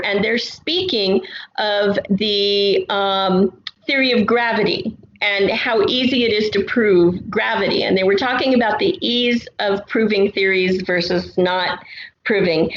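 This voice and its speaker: Woman, podcast guest, casual storytelling, deliberate pauses, roomy sound, faint noise. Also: on the high side, dull audio.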